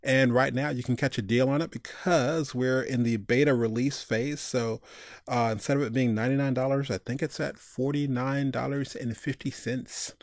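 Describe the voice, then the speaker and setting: A man's voice: mid-range voice, fairly even intonation, normal speed, no room sound, clean studio sound. A man giving a casual screencast tutorial, informally talking through each step and thinking aloud. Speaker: tutorial instructor.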